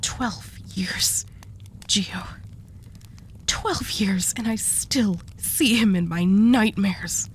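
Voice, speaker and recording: Actress as slow-spoken, sardonic female mafia boss. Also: mid-range voice, whispered. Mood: haunted. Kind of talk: audio roleplay acting.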